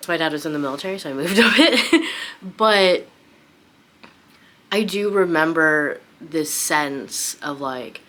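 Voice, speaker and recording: Young woman, book vlogger: low voice, informal and rambling, off-the-cuff chat. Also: lively intonation, unhurried, sharp tone. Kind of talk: casual unscripted chat.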